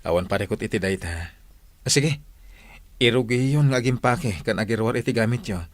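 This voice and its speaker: A man's voice: mid-range voice, brisk, no room sound, natural voice. A man playing a generous, easygoing husband in a Filipino audio drama, speaking reassuringly.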